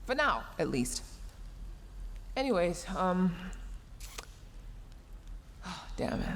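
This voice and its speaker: Sci-fi audio drama: actress as young female mech engineer, tsundere, stammering and emotional, gruffly caring, slightly low pitch, noisy background. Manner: huffy, flustered, stammering, emotional delivery. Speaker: voice actor. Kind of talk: sci-fi audio roleplay, addressed to the listener. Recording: long reverb, clear background noise.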